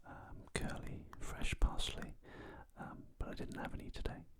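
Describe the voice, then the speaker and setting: Very slow whisper, ASMR style. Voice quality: breathy unvoiced whisper. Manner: gentle, unhurried, with pauses. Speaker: host of cooking videos. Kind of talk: whispered ASMR cooking narration.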